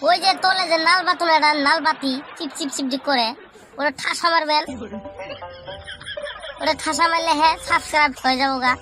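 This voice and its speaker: A young village prankster from a comedy cartoon, squeaky and pitched up. He grumbles in a rural Bengali accent, cheeky and full of exaggerated self-pity. Loud music plays under his voice. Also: fairly even intonation. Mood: cheeky, hurried. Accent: rural regional Bengali. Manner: exaggerated, grumbling, slangy, with drawn-out wails. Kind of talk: comic cartoon monologue.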